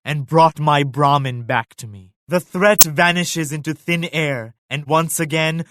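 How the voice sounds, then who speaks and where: An actor voicing a devout, dignified Mughal emperor in a video game, speaking in a solemn, formal, measured manner. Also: male voice, a little high, noisy background, natural voice. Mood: grateful, reverent. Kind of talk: scripted video game character lines.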